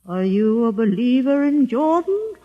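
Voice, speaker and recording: Slow, high-voiced suspicious doorkeeper. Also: male voice, very animated, exaggerated voice. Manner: slow, guarded, probing. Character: suspicious, hushed guardian of a holy man's door. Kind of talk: 1950s radio drama acting. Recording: muffled, long hall reverb.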